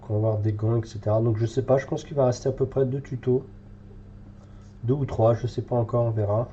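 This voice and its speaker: A man's voice: medium pitch, fairly even intonation, quick pace, mellow, muffled recording, a little hiss or hum. A French man with a cold, thinking aloud with many fillers as he paints live in a hobby tutorial. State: has a cold, congested.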